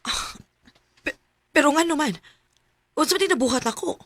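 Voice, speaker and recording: A woman's voice: sits high, animated, normal speed, very noisy. A woman acting an anguished, lovesick young woman telling her story in a sentimental radio drama. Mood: hurt, anxious. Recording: music bed under voice. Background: music.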